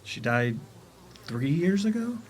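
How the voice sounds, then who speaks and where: An actor playing a blunt, easygoing house builder in a romantic comedy radio play. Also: male voice, on the high side, very animated, takes it slowly, a little hiss or hum. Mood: curious. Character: blunt, easygoing male house builder, proud of his work.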